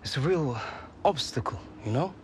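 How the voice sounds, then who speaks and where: An actor playing an earnest, self-doubting young professional footballer in a video game drama, speaking hesitantly. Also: male voice, fairly high pitch, lively intonation, moderate pace, lightly acted. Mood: hesitant, worried. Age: young adult. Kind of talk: naturalistic game cutscene dialogue. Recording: faint ambient noise behind.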